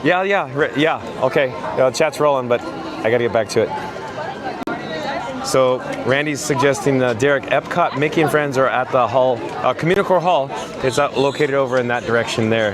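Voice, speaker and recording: A hoarse man live-streaming as he walks outdoors through a theme park, chatting and reading out messages from his chat. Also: medium pitch. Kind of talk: live-stream walking commentary.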